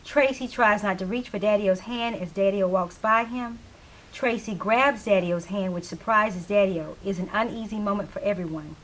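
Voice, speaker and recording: A woman's voice: medium pitch, moderate pace, a bit bright, rough recording. A woman reading stage directions as scripted narration for a staged play reading. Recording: faint hiss, live room recording.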